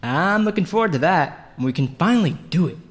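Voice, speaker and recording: A man's voice: sits high, expressive, a little fast, slight background noise. A man playing an eager, chatty amateur stage actor in audio drama dialogue. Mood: eager, casual.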